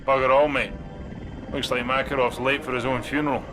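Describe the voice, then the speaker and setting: Actor as a quick, cocky British soldier. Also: male voice, medium pitch, level tone, over music, close to an everyday voice, poor audio. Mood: wry, confident. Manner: wry, brisk. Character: dry, cocky British sniper, soldier on radio. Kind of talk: dramatic video game acting.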